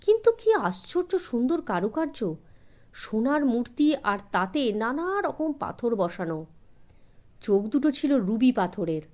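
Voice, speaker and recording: A man's voice: extremely high pitch, sing-song, measured pace, darkish tone, heavily acted. A woman voicing a courteous, helpful male museum assistant who explains things politely and mixes in English phrases. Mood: admiring.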